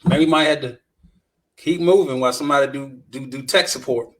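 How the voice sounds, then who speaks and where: A man's voice: medium pitch, brisk, a bit bright. A man with an American accent chatting informally and agreeably as a podcast co-host. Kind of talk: casual podcast chat.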